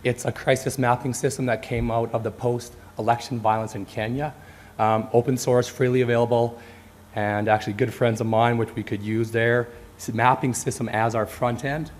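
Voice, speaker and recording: A man's voice: mid-range voice, flat delivery, moderate pace. A man acting as technical co-presenter, giving an informal explanation during a live presentation.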